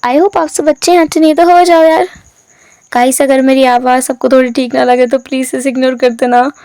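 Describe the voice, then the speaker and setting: A woman narrates a story for her own channel, and her voice is hoarse and strained. She talks in a chatty, rambling way and mixes in English words. Her voice sits high, and the recording is dry and close. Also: steady tone, brisk, darkish tone, dry recording. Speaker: story explainer, channel host.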